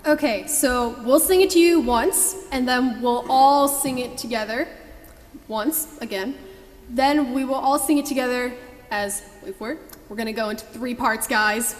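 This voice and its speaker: A woman's voice: fairly high pitch, moderate pace. A young woman giving casual instructions as a song leader in a church. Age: young adult. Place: large church sanctuary. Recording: echoey hall reverb.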